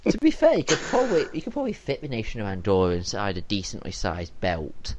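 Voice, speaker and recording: A man chatting on a podcast, his pitch leaping up and down as he jokes and laughs. His banter is playful, with a faint hiss behind him. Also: huge pitch swings, fast talker, muffled recording. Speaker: podcast co-host. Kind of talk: casual chat.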